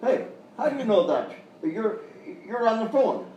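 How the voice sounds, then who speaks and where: An actor playing an excitable, dim-witted police chief in a live comedy sketch, blustering and befuddled. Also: male voice, sits high, a little slow, dull audio. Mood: agitated, befuddled. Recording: echoey, distant live stage recording, faint noise.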